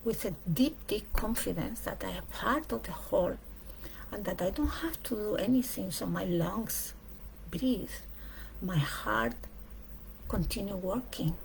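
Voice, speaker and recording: Female speaker, for a reflective spiritual talk; unhurried delivery in short weighted phrases, gravelly creak in the voice. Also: mid-range voice, animated, slight background noise, low-quality recording. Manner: deliberate, emphatic phrasing in short pieces with pauses.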